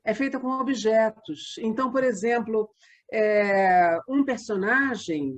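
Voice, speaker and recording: A woman's voice: fairly high pitch, slow pace, highs cut off. A Brazilian woman, a theatre company member, explaining her work in a conversational interview.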